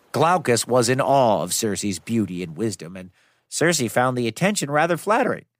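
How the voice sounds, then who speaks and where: A man's voice: mid-range voice, no room sound, studio quality. A man narrating a children's myth as storyteller, in a playful, conversational way. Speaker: storyteller host.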